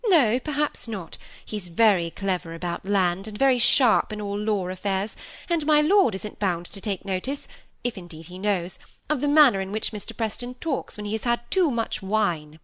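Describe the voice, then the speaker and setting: A woman's voice: medium pitch, expressive, normal speed, a bit bright, no room sound, close to an everyday voice. A woman voicing a stern, bossy older spinster in a storybook, speaking in an emphatic, sententious way. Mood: disapproving. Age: middle-aged or older.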